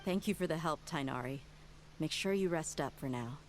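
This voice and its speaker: A woman's voice: deep voice, moderate pace, slight background noise, natural voice. A woman voicing a laid-back, shrewd adult mercenary in a game, matter-of-fact in delivery. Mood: brisk, friendly. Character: easygoing, shrewd adult woman mercenary who loves drink. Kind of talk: animated game voice acting.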